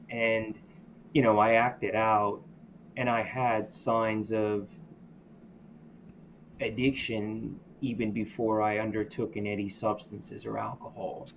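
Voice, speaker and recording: Low-voiced man telling his story. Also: creaky, slight background noise. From United States. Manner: reflective, halting, with many restarts and "you know". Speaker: podcast guest. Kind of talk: candid personal storytelling.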